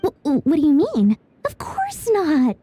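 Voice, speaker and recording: A woman's voice: high voice, wildly swooping pitch, takes it slowly, dark tone, dry recording, full character voice. A woman voicing a greedy, chatty young female merchant in a video game, talking in a theatrical, salesy way. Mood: flustered. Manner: theatrical, salesy, self-promoting. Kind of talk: video game character acting. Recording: music under the voice.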